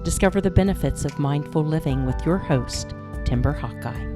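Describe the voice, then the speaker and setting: A woman reading a scripted podcast introduction in a slow, deliberate announcing manner. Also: low-pitched. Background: loud music.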